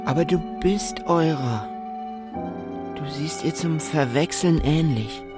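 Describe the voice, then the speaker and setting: A man's voice: fairly high pitch, takes it slowly. An actor playing a desperate, earnest man searching for his lost beloved in a radio drama. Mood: bewildered, searching. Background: music.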